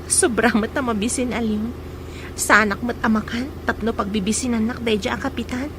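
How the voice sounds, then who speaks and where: A woman's voice: a little high, fairly even intonation, normal speed, noisy background, natural voice. A woman playing a spirited, teasing young sweetheart and devoted daughter in a radio drama, with a pert, playful manner.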